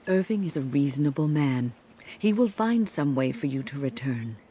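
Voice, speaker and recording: An actress playing a dignified older woman mage in a game, grave and formal with a deliberate delivery. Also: a bass voice, lively intonation, warm tone, breathy edge, exaggerated voice. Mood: earnest. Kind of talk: dramatic game cutscene acting.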